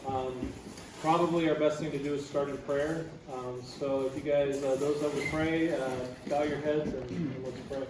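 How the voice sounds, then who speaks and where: A man's voice: on the high side, steady tone, normal speed. A man serving as pastor, leading a memorial service in plain words with many fillers. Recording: echoey hall, far from mic, noisy.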